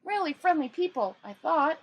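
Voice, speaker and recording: A woman's voice: high-pitched, big pitch swings, normal speed, pitched above the performer's own voice. A woman reading a storybook and voicing a naive, well-meaning male tourist who is earnest, chatty and plaintive. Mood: earnest, cheerful.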